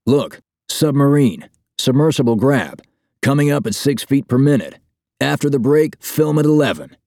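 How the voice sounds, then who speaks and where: A man's voice: low voice, sing-song, a little fast, dark tone, dry recording, clean studio sound. A man voicing a resentful, profane crewman in an audiobook, sarcastic and combative. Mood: scathing. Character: scathing, resentful Boston Irish crewman, profane and dismissive.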